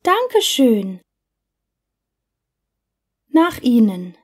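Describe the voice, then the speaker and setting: A woman's voice: fairly high pitch, big pitch swings, very slow, breathy edge. A woman language teacher reading scripted example dialogues for beginners in careful, simple short phrases.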